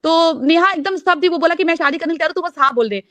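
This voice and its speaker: A woman's voice: very high voice, rapid-fire, a bit bright, no room sound. A woman casually recounting events in a group call, emphatic and repeating her phrases.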